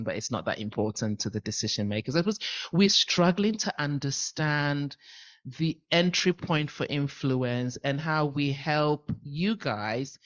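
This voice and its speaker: A man at a policy roundtable with a loud voice, speaking forthrightly and stressing his points by repeating them. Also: mid-range voice, muffled recording. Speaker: panel participant.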